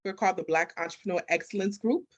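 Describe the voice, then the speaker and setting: Female business coach as interview guest, mid-pitched, motivational and emphatic. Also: quick pace, muffled recording. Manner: emphatic, repetitive, rhetorical questions. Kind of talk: conversational motivational interview answers.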